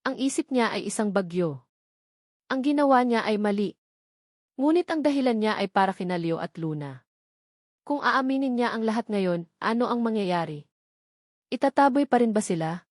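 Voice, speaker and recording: A woman reading a scripted love story as narrator in a dramatic, measured manner. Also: fairly high pitch, lively intonation, no echo, clean studio sound.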